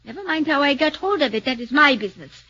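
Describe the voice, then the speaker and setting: A woman's voice: sits high, expressive, fast, sharp tone. An actress playing a scheming, vengeful woman in 1940s radio drama, brazen, emphatic and melodramatic. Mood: sly, gloating. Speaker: radio actor. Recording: dull 1940s radio recording.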